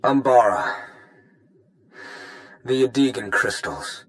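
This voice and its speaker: A man's voice: mid-range voice, animated, slow pace. A man playing a bitter, vengeful former guard turned rebel leader in a sci-fi game, grave and impassioned. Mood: distrustful. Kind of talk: dramatic sci-fi game acting.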